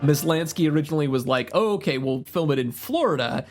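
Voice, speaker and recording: A man's voice: a little high, expressive, fast, soft background music. A middle-aged man, chatting casually about film as a podcast co-host in an agreeable, conversational way. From Ontario, Canada.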